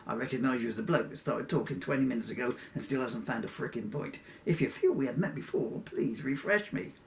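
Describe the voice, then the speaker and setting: Man playing a sarcastic, creaky old miser. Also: medium pitch, a little fast, a little hiss or hum, close to an everyday voice. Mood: exasperated sarcasm. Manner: sarcastic, nitpicking, deadpan. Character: miserly, sarcastic, unimpressed old male businessman.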